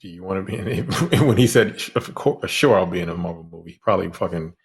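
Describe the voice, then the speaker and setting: A man co-hosting a podcast in animated, profane casual chat, laughing as he talks. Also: deep voice, quick pace. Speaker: podcast co-host.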